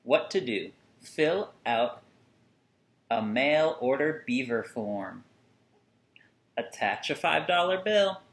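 A man in his thirties reading a picture book aloud to children with deliberate, singsong phrasing and dramatic emphasis. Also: mid-range voice, lively intonation. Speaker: children's story reader. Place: small furnished room at home, indoors. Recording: roomy indoor echo.

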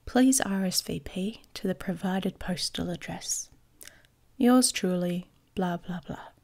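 A woman playing a smug, playful young werewolf pack leader in an intimate ASMR romance roleplay, teasing and often slipping into a breathy whisper. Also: fairly low pitch, slow, darkish tone. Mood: cheeky, smug.

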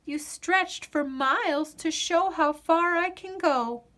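A woman's voice: very high-pitched, slow pace, a bit bright, voice pushed up, heavily acted. A woman voicing a brave, emotional young girl from a storybook, earnest and theatrical. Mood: tender, earnest.

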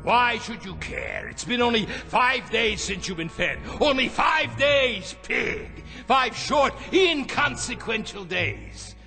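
An actor playing a stammering, cruel former camp captain in a radio drama. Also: male voice, extremely high pitch, big pitch swings, slow, creaky, hardly any pauses, bright, forceful tone, full character voice. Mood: sadistic. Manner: stammering, mocking laughs, then fearful. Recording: radio play with music behind.

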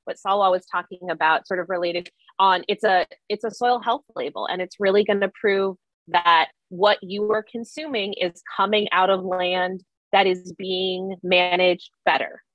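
A woman's voice: lowish voice, steady tone, normal speed, fairly bright tone, no echo, muffled recording. A middle-aged woman speaking casually as an expert panelist on a video call. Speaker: expert panelist, environmental program director. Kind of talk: casual, conversational panel talk. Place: indoor room on a video call.

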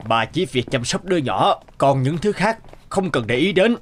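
Man acting an animated, insistent, secretive husband. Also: on the high side, moderate pace, slight background noise, natural voice. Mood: commanding. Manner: commanding, urgent, insistent. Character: stern, secretive mustached husband of a fairy household. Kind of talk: animated fairy-tale dubbing. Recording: background music under the voice.

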